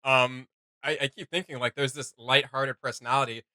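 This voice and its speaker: A woman hosting a reaction video, chatting casually and excitedly as a fan and often quoting herself. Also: a bass voice, normal speed, no room sound. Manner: animated storytelling with self-quoting.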